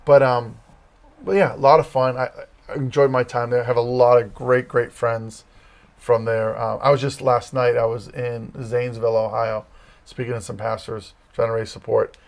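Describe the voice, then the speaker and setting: A middle-aged man with a Massachusetts accent rambles easily through his stories in a relaxed guest chat. He leans on fillers and restarts, and his pitch sits in the ordinary middle range for a man. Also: normal speed, creaky. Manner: rambling, storytelling, many fillers. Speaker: campus ministry leader, guest. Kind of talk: casual interview chat.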